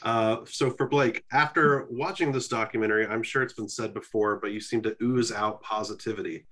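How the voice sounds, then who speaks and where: A man's voice: on the low side, fairly even intonation, moderate pace, a bit bright. A young man hosting an interview over a video call, asking friendly questions and laughing between them. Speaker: host, film reviewer. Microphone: webcam on video call.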